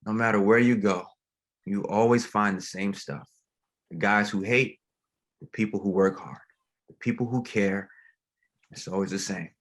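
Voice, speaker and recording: An actor playing a gentle, grieving pastor in a dramatic table read, speaking plainly and haltingly. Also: male voice, lowish voice, natural voice. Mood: reflective. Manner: reflective, plain-spoken, halting. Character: grieving, gentle, reflective male pastor, widowed father.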